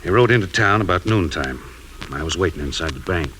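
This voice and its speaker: A man's voice: sits low, animated, brisk, sharp tone. A man acting a stern but regretful sheriff in a 1950s radio Western, with an American accent and weighty, rustic delivery. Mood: grave regret. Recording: noisy 1950s radio recording.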